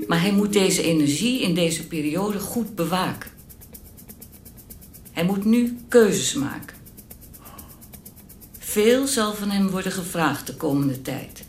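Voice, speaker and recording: A calm spiritual medium, solemn and reassuring, with plenty of creak in her voice. She speaks in a radio play, in an echoey room with faint noise behind. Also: fairly low pitch. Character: calm, assured spiritual medium giving guidance. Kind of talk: radio drama acting.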